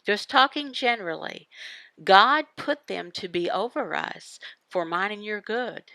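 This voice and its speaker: A woman giving a devotional Bible teaching in emphatic, halting phrases, asking questions and answering them. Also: medium pitch, animated, creaky, bright, forceful tone, no room sound, rough recording. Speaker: voice interpreter for a signed devotional. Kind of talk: devotional Bible teaching, voiced from sign language.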